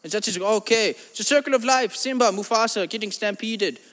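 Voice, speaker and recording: A man voicing an eccentric self-styled spiritual guru with a put-on German accent, in a drawn-out, lofty, preachy comedy monologue. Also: sits high, a bit bright, big-room sound. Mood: grandiose rambling. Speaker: comedy podcast host. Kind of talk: improvised comedy monologue in character.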